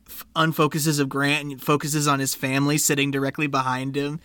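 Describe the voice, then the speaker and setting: Joking guy recapping a movie, sharp bright voice, no room at all. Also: on the high side, level tone, fairly quick, smooth, no room sound. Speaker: podcast co-host. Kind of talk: casual movie recap chat.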